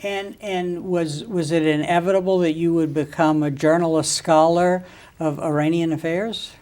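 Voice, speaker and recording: A man's voice: fairly high pitch, steady tone, moderate pace, a little mellow. A man hosting an interview show, who reads a formal introduction and then asks questions that sometimes stop and start. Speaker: interview host.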